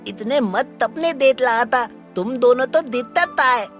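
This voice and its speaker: A woman's voice: on the high side, measured pace, over music, close to an everyday voice. A woman voicing a whining, simple fat village fellow in a cartoon story, with a heavy babyish lisp. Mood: whining, timid.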